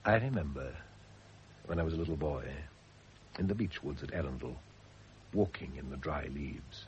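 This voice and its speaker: Radio play, male gentle doomed husband, reflective and literary, deep register, slightly noisy old recording. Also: lively intonation, moderate pace. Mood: wistful, tender. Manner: literary, reflective, tender, with whispered drops. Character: condemned husband, gentle, reflective, calmly facing death. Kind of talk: classic radio drama acting.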